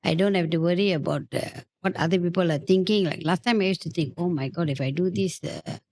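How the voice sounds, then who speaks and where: A woman's voice: fairly low pitch, fast talker, a little mellow. An older woman speaking as a guest, cheerful and carefree about her life. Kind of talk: candid conversation.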